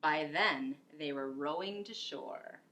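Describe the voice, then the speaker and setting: A middle-aged woman reading a picture book aloud as a teacher, expressive and explanatory, with deliberate delivery. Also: sits low, low-quality recording. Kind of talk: expressive children's story reading.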